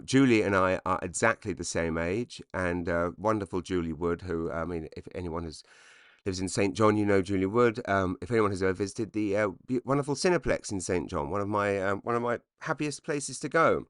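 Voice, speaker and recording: A man in his forties with a slightly croaky voice, rambling self-deprecatingly through a comedic solo podcast monologue. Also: low voice, fairly bright tone, no echo, clean studio sound. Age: 40s. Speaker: podcast host, stand-up comedian.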